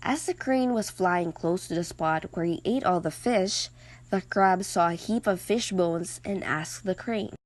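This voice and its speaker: A woman's voice: on the low side, a little slow, no echo. A woman narrating a scripted story as a storyteller.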